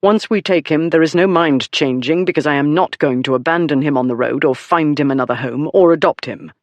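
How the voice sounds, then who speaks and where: A male narrator voices an aristocratic duke from a novel. His voice is cool and low, carrying easily, with an unhurried, dry disdain and calm authority, recorded without any room sound. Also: no echo, lo-fi sound, close to an everyday voice. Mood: firm.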